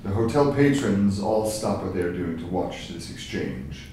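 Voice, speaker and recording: Deep-voiced male narrator, very echoey room. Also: animated, normal speed, dark tone. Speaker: stage directions narrator. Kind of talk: scripted screenplay narration at table reading. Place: large echoey room, live table reading. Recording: very echoey, distant mic, faint noise.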